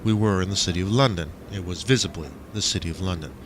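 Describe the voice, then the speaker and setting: A man's voice: low-pitched, quick pace, noisy background. A man reading surreal first-person fiction as a dramatic audiobook, swinging between emphatic lines and muttered asides. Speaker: author reading own stories.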